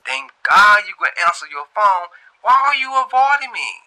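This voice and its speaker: A man's voice: high-pitched, big pitch swings, moderate pace, sharp tone, pitched above the performer's own voice, heavily acted, low-quality recording. A man voicing an insistent, exasperated young male caller in a storytelling reading. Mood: pleading. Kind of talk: amateur storytelling.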